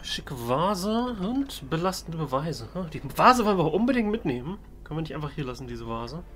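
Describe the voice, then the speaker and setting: Bright-voiced man doing gameplay commentary. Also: fairly high pitch, big pitch swings, normal speed. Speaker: let's play host. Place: voice-over on game footage.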